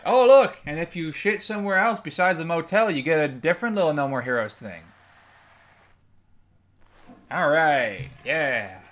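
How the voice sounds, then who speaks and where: A man's voice: medium pitch, fairly bright tone. A young man casually commenting on a video game as he plays, joking and swearing freely. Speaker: gameplay commentator. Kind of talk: let's play commentary. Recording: voice over game music.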